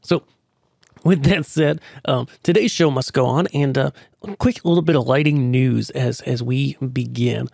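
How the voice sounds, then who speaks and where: A man's voice: mid-range voice, measured pace, gravelly, a little mellow, no room sound, clean studio sound. A man hosting his own podcast while under the weather with a cold, talking casually and rambling with many fillers. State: has a cold. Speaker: podcast host. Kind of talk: casual solo podcast talk.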